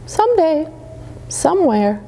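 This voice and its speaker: A woman voicing a wise old male moon who speaks gently and soothingly. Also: sits high, sing-song, a little slow, pitched above the performer's own voice, heavily acted. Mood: gentle.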